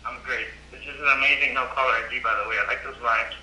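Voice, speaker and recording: A man's voice: mid-range voice, fairly even intonation, fast, sharp tone, a little hiss or hum. A man chatting casually, heard through a phone speaker in an echoey room. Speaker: person receiving a prank call.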